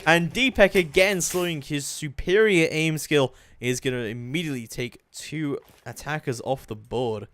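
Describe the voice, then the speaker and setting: A man giving live play-by-play commentary on a video game match in an excitable manner. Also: a little high, normal speed, hardly any pauses. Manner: excitable, building to shouts at big plays.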